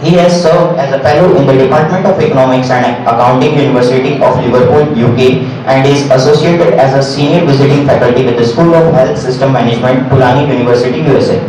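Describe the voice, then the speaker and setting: A man's voice: medium pitch, fast talker, few pauses. A man with an Indian accent acting as emcee, formally reading out a guest's credentials as a list. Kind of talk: formal introduction. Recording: echoey hall, distant mic, noise.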